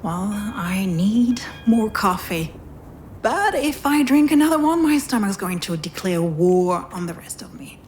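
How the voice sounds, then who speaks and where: Woman acting a courteous, measured hospital doctor. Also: mid-range voice, expressive, a little mellow, slight background noise, close to an everyday voice. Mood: polite, practical. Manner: polite, measured, professional. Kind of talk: naturalistic visual novel dialogue.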